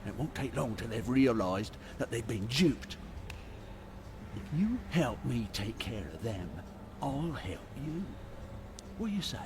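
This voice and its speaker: This is a brash, shifty bar owner in a sci-fi game. He bargains and wheedles with animated intonation at a middle pitch. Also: measured pace, pauses often, background noise. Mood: shifty, bargaining. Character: shifty, bargaining male space barkeeper in debt.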